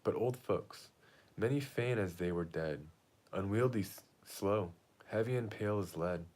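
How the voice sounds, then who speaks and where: A man's voice: fairly low pitch, unhurried, darkish tone, natural voice. A man reading the part of an impatient, lovesick teenage girl from a Shakespeare play, in a declamatory, pleading way. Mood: restless longing. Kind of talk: amateur read-aloud of Shakespeare play.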